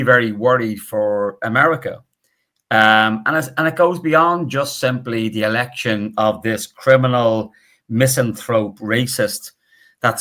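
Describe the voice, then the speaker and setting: Middle-aged northern irish guy talking bright and punchy, strong opinions, guest on an interview. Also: mid-range voice. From Northern Ireland. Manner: animated, emphatic, opinionated. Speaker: guest professor. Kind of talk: conversational interview.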